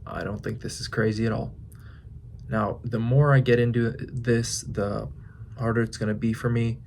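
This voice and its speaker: A man's voice: mid-range voice, little pitch movement, gravelly, darkish tone, a little hiss or hum. Young man musing aloud in a vlog. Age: 20s. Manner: musing, thinks aloud with pauses and restarts. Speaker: fitness vlogger. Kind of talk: reflective casual vlog monologue.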